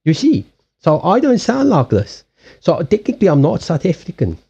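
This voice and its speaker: A man's voice: on the high side, wildly swooping pitch, normal speed, vocal fry, warm tone, husky, voice pushed up, full character voice. A man voicing a blunt, matter-of-fact guy with an Afrikaans English accent, on slightly lo-fi stream audio.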